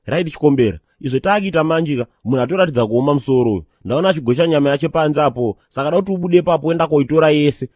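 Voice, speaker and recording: Man as a rapid-fire blustering cartoon character. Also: on the high side, darkish tone, dry recording, studio quality, close to an everyday voice. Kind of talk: comedy cartoon voice acting.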